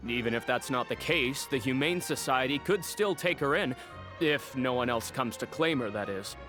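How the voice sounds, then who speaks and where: A man's voice: medium pitch, expressive, normal speed, over music, natural voice. An actor playing an evasive, polite male animal shelter director in a video game. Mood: defensive, explaining. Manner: hesitant, explaining, polite. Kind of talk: English game dub dialogue.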